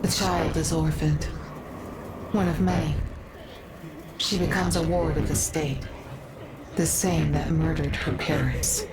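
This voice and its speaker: A woman playing a bitter, vengeful villain in a video game, speaking solemnly and deliberately. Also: low-pitched, fairly even intonation, noisy background. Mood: solemn, accusing.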